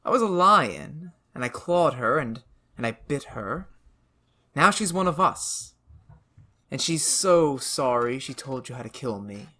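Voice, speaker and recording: A man playing a calm, bright-voiced vampire son. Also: medium pitch, a little slow, full character voice. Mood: gleeful. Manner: calm, matter-of-fact menace. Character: young man vampire son, eerie, shapeshifting, unrepentant. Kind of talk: radio drama acting.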